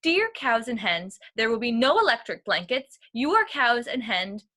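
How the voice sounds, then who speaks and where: A woman's voice: a little high, big pitch swings, moderate pace, pushed and bright, exaggerated voice. A woman voicing an indignant, stubborn male farmer from a storybook in an emphatic, demanding, blustering manner. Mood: furious.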